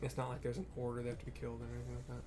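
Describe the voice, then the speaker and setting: A man's voice: mid-range voice, completely flat delivery, rapid-fire, music in the background. Man casually talking through video game play. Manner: rambling, thinking aloud as he explains. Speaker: gameplay commentator. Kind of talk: casual unscripted game commentary.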